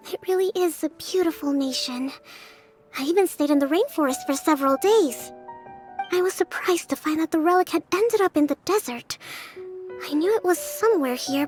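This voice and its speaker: An actress playing a calm, gentle young priestess in a game cutscene, speaking in a measured, soft-spoken way. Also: female voice, sits high, soft background music. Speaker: divine priestess.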